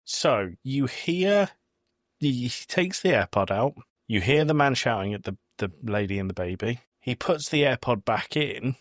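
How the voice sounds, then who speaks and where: A man's voice: mid-range voice, lively intonation, unhurried, no room sound, studio quality. A man co-hosting a podcast, chatting casually about a film. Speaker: podcast co-host.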